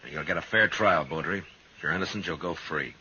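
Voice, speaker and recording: An actor playing a stern, laconic Old West marshal in a terse, deadpan 1950s radio western style. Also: male voice, low voice, fairly quick, breathy edge, exaggerated voice. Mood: gruff, matter-of-fact. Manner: terse, deadpan, hard-bitten. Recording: dull old radio recording, music behind.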